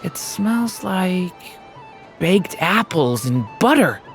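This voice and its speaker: An actor voicing a gentle, polite young traveller in an anime game, earnest and kindly. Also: medium pitch, very animated, slow, soft background music. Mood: wistful. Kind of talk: anime game cutscene acting.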